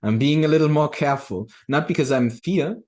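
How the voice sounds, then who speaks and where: A man in a casual discussion, speaking reflectively and haltingly, often restarting his phrases as he works through a thought. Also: on the high side, darkish tone.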